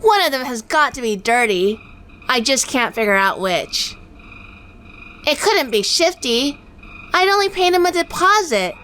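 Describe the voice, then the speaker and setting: A woman playing a sharp-tongued, determined female sleuth with dry, teasing banter in a noir-flavoured audio drama. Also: high voice, sing-song, unhurried, a little hiss or hum, full character voice. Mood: urgent.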